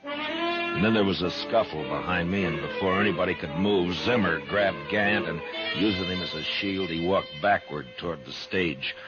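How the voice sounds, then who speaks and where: A steady Western lawman in a 1950s radio drama. His voice is low and measured, with terse authority. The recording is dull and old, with music in places. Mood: grave narration. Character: stern, steady frontier marshal, narrating and negotiating.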